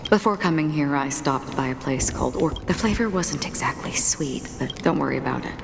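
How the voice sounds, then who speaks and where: A woman's voice: deep voice, animated, fairly quick, creaky, background noise. An actress playing a stoic, melancholy wandering warrior woman with a subdued, solemn delivery in a game. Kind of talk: dramatic game voice acting. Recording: long reverb, music behind.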